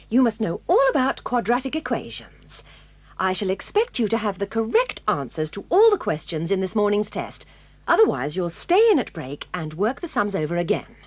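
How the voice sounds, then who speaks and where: An actress with a British accent playing a stern, crisp schoolmistress with dry sarcasm in an audio drama. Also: female voice, medium pitch, no echo. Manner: crisp, commanding, sardonic.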